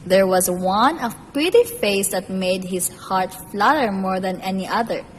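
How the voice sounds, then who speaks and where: A woman's voice: medium pitch, measured pace, natural voice. A woman narrating a fairy tale as a storyteller in a scripted radio play. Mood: expressive. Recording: long reverb, faint noise.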